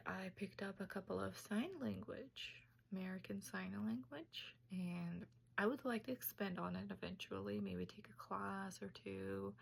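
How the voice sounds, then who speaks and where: Young woman, slow and thoughtful, a bit gravelly. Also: medium pitch. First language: Tajik. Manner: unhurried, thoughtful, slightly halting. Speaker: student. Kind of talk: casual class presentation video.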